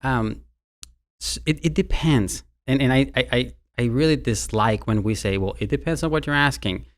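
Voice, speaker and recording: A man's voice: mid-range voice, moderate pace. A man from Mexico with a Mexican Spanish accent, a university marketing executive talking as a guest in a conversational interview, who often repeats words as he starts a sentence.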